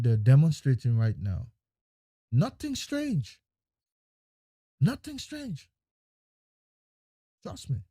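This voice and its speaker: A man giving an emphatic political monologue, repeating phrases and swinging between loud and hushed lines. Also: on the high side, no echo, clean studio sound. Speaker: political commentator.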